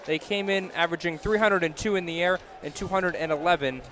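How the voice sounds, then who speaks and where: A man calling football play-by-play live on radio, building to excited calls on big plays. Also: high voice, fairly quick, slight background noise. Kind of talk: live radio sports commentary. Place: live football game broadcast.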